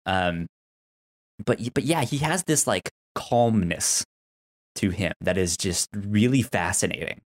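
A man co-hosting a casual TV recap discussion, halting and stammering over repeated sounds and words. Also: lowish voice, expressive. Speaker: podcast co-host.

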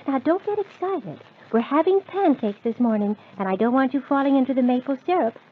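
A woman's voice: sits high, lively intonation, brisk, slightly rough. A woman playing a cheerful, motherly lady of the house in an old-time children's radio show. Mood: cheerful, fond. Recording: tinny, phone-like old radio recording.

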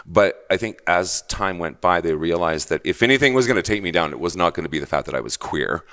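A very deep-voiced Canadian man talks bluntly with real defiance. His pitch swings up and down a great deal as he presses his points. He speaks with conviction and leans hard on key words. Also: animated, brisk, fairly bright tone. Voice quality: low voice. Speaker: guest. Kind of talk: candid conversation.

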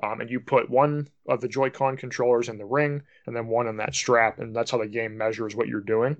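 Male podcast guest, medium pitch, informal explainer with plenty of ums. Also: little pitch movement, brisk, muffled recording. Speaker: podcast contributor, tech writer. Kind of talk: casual explanatory chat.

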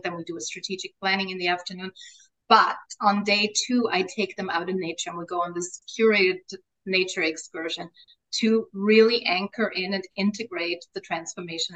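A middle-aged woman with an Austrian German accent, a native German speaker, talking as a life coach in a casual interview, animated, laughing often and repeating words as she starts her thoughts. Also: on the low side, brisk, rough recording.

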